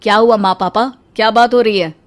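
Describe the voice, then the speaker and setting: A woman's voice: a little high, steady tone, fairly quick, natural voice. A woman voicing a respectful, encouraging young adult son with an earnest manner in a cartoon moral story. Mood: curious. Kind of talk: animated moral story acting.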